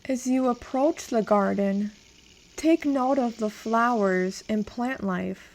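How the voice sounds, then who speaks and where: A woman leads a guided meditation at a very slow pace. Her voice sits a little high, and she gives each instruction in a calm, measured manner. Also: rough recording.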